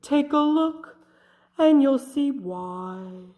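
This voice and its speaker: A woman voicing a glum, gloomy male fish in a storybook read-aloud, in a slow, mopey sing-song drawl. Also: sits high, expressive, mellow, voice pushed up, heavily acted.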